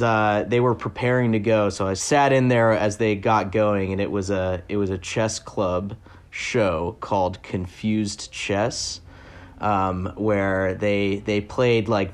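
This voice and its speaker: A reporter being interviewed, a man with a fairly low voice. he talks loosely and casually, filling his answers with likes, uhs and you knows. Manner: loose, filler-heavy talk with many likes and uhs.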